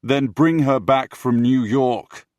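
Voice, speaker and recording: A man's voice: mid-range voice, expressive, drawn-out words, no echo, pitched above the performer's own voice. Man as a raised-voice, imperious prince. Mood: furious commanding. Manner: commanding, forceful, impatient. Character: imperious, desperate, hot-tempered prince giving orders. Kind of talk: audiobook character dialogue.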